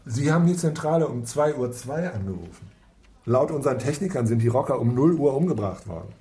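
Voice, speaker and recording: An actor playing an impatient, commanding police investigator in a naturalistic radio drama, curt and sarcastic. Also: male voice, medium pitch, animated, fairly quick, dark tone, close to an everyday voice. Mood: stern, impatient. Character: brusque, impatient male police lead investigator.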